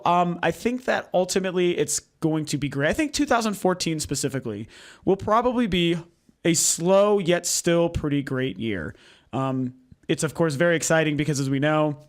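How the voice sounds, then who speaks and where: A hoarse young man from the United States who is losing his voice, hosting a casual, rambling video game vlog with lots of ums and uhs. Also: fairly high pitch. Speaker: video game vlogger, channel host. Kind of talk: casual opinionated vlog talk. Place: home recording setup.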